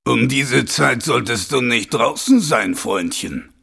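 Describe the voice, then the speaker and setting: A man voicing a cool, sharp-tongued young streetwise woman in an audiobook, with a dry, mocking, brisk delivery. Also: medium pitch, expressive, vocal fry, husky, heavily acted. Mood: grim warning.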